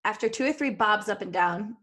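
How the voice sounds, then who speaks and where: A woman's voice: mid-range voice, a little fast, a bit bright. A woman podcast host reads fan fiction aloud. Her delivery is playful and giggly, and she drops out of the story into chatty asides.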